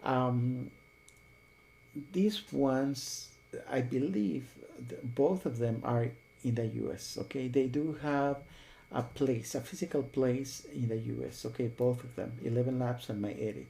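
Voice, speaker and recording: A man talking casually and unscripted as a software reviewer, rambling and often checking in with the listener. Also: mid-range voice, unhurried, gravelly, rough recording. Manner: rambling, repeatedly checks with "okay?" and "you know".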